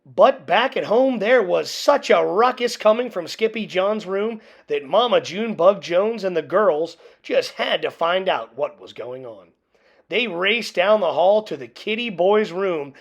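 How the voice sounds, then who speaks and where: A woman reading a children's picture book aloud in an expressive, theatrical way with dramatic pauses. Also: medium pitch. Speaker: storybook reader.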